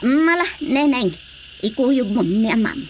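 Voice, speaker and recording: An outspoken village woman scolds and insists in a high, very animated voice. It is emphatic radio drama comedy, with faint background noise. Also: measured pace. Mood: insistent.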